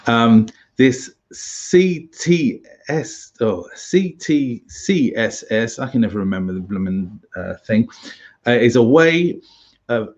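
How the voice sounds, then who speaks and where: A British man giving an informal tutorial as an instructor, halting and chatty with wry asides. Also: medium pitch, animated, a little mellow, dull audio. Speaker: survival instructor, presenter.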